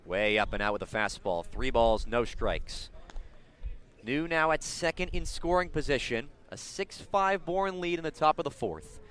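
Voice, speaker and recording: A man calling live baseball play-by-play for radio, describing the action in detail and building excitement on big plays. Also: mid-range voice, measured pace, slight background noise. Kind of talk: live radio sports commentary.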